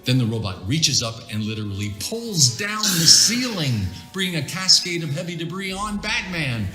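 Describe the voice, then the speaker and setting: A man's voice: fairly high pitch, very animated, moderate pace, echoey room, over music. A man reading scripted scene descriptions as the narrator of a comic book adaptation.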